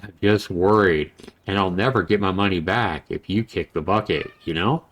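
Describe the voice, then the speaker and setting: A man's voice: deep voice, normal speed, fairly bright tone. Man reading as a grumbling, worried adventurer friend. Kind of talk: visual novel character reading.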